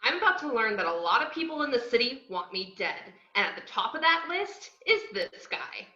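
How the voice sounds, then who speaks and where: A woman's voice: fairly high pitch, big pitch swings, a little fast, sharp tone. A woman playing a brash, wisecracking antihero who narrates her own misadventures in an amateur table read over a video call. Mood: sassy. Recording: roomy, dull video-call audio.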